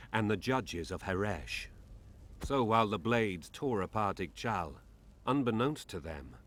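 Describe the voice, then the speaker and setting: A man playing a solemn storyteller, reading dramatic scripted narration for a fantasy video game. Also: lowish voice. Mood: grave.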